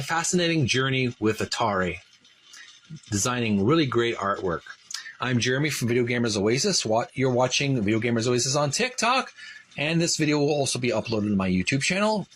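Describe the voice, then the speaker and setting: A man hosting a video channel, reading from a book and adding casual commentary in an earnest, deliberate way. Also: medium pitch, fairly bright tone, a little hiss or hum.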